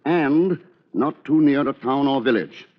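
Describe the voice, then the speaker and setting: An actor playing a scheming, precise lawyer in an old radio drama, with coolly confiding delivery. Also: male voice, medium pitch, a little slow, lightly acted. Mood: brisk, calculating. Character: scheming, coolly calculating male lawyer narrating his crime. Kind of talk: 1940s radio drama acting, first-person narration. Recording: dull, lo-fi old radio recording.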